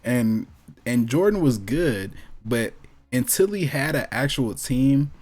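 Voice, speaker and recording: A man's voice: mid-range voice, slow pace. Slangy man chatting, emphatic and repetitive. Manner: animated slangy storytelling, repeating himself for emphasis. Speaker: podcast co-host. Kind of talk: casual chat about movies and sports.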